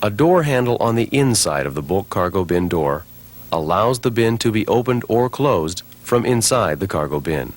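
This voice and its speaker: A man reading scripted training-film narration, instructing clearly step by step. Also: fairly low pitch, sing-song, normal speed.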